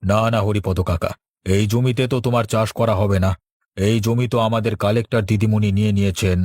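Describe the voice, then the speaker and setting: A man's voice: on the low side, steady tone, moderate pace, darkish tone, no room sound, clean studio sound, natural voice. An actor playing an earnest, talkative village man in a cartoon, exclaiming and explaining. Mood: brisk, insistent. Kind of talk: Bengali cartoon dialogue.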